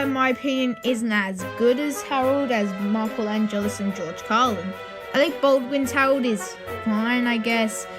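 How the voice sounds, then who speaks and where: A video host giving informal opinion commentary in a ranking video, with conversational asides. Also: medium pitch.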